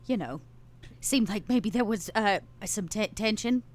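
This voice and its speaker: A woman's voice: medium pitch, expressive, a little slow. A woman playing a flustered, defensive woman driver in a tabletop game, stammering and blurting her words. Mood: awkward, defensive. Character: Nero, flustered, defensive woman driver of a monster-hunting cell.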